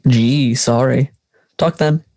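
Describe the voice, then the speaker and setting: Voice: mid-range voice, fairly even intonation, slow pace, warm tone, dry recording. A teenage performer playing a carefree, teasing school friend in conversational audio drama dialogue. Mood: breezy. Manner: laid-back, teasing.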